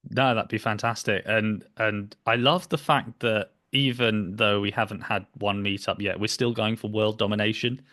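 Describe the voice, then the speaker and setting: A man's voice: lowish voice, steady tone, normal speed, hardly any pauses, bright, forceful tone. A young man hosting a podcast in casual interview chat, with a hesitant, rambling delivery. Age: young adult. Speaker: podcast host. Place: small home room, indoors. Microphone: computer mic on a video call.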